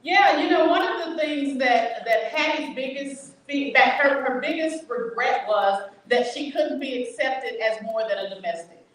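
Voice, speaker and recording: A woman author tells stories at a bookshop event, lively and laughing as she goes. Her voice sits a little high. She is picked up from across a very echoey room. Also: measured pace, hardly any pauses, distant mic. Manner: animated storytelling with laughs.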